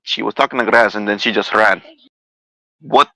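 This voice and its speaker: Bright, forceful man playing a tabletop game. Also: fairly low pitch, normal speed, no room sound, low-quality recording. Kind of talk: casual in-character improvising.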